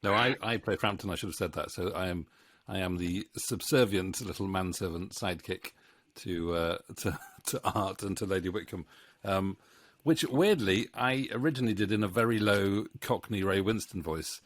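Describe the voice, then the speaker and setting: Man, middle-aged, deep pitch, casual interviewer, jokey, stop-start phrasing, close studio mic. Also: no room sound. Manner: jokey, halting. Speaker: interviewer and voice actor. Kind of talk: casual chat. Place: small home room. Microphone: studio microphone on a boom arm.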